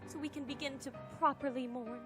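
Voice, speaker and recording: A woman voicing a scheming, sweet-talking lady villain who feigns innocence, genteel and theatrical, in an animated fan drama. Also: sits high, brisk, music in the background, close to an everyday voice. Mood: feigned concern.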